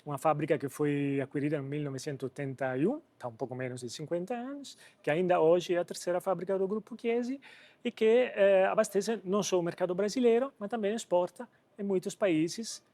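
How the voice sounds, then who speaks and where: A man's voice: sits high, warm tone, no room sound. A man from Italy speaking with an Italian accent as an interview guest, hesitating often as he searches for words. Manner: halting, hesitant delivery with repeated searching for words. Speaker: company president, interview guest. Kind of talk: interview answers on business.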